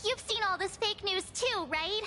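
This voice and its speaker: A woman voicing a small, excitable, earnest young companion in a video game, squeaky and full of exclamation. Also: moderate pace, slight background noise. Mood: worried.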